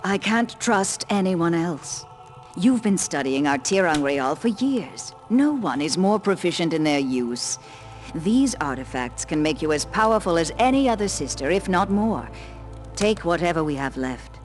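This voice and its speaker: Fantasy game older female head of a sorceress order: measured, formal, commanding, music underneath. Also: on the low side, expressive. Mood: grave, commanding. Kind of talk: scripted fantasy game acting.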